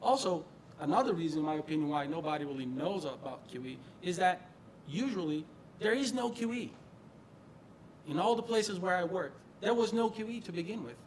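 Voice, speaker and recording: A man's voice: sits high, expressive, a little fast, slight background noise, poor audio. A middle-aged man from Brazil and New Jersey gives an informal tech talk in a conversational, emphatic way, repeating phrases for stress. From born in Brazil, grew up in northern New Jersey, US. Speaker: presenter, quality engineering manager. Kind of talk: informal tech meetup presentation. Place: meeting room presentation before an audience.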